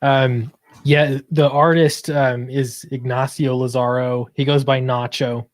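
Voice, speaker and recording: A man's voice: medium pitch, little pitch movement, slow, no echo. A man chatting casually as an interview guest, upbeat but a little hesitant. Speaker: guest comic book creator.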